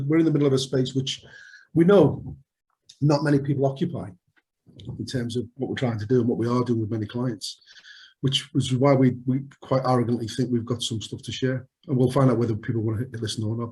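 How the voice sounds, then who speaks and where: A man's voice: medium pitch, a little mellow, low-quality recording. A middle-aged man with a Liverpool accent co-hosting an unscripted podcast, jokey and rambling with frequent restarts. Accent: Liverpool (Scouse). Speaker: podcast co-host.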